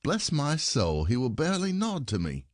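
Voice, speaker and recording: Audioplay comedy: male actor as aggrieved, distrustful husband, mid-pitched and highly expressive, theatrical, given to questioning and muttered asides. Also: normal speed, no room sound, clean studio sound. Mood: suspicious. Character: suspicious, aggrieved husband, bitter and probing. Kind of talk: classic stage comedy audioplay.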